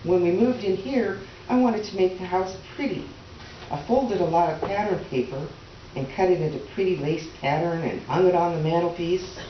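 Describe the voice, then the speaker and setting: A woman's voice: fairly low pitch, moderate pace, lightly acted. A woman playing a weary, resigned tenant farmer's wife in a solemn, lyrical staged reading. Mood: wistful, bitter. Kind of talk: readers theater dramatic monologue. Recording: echoey room, distant mic, noisy, dull.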